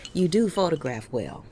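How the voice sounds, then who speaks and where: Deep-voiced, loving American mother. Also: sing-song, a little slow, mellow, slight background noise. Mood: concerned, affectionate. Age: middle-aged. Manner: affectionate, firm. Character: loving, protective, firm mother of a grown daughter. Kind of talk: audio drama acting.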